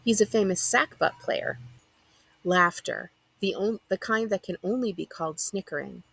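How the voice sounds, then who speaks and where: A woman librarian reading a first-person children's novel aloud as expressive narration. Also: medium pitch, normal speed, gravelly, slightly rough, no echo, poor audio.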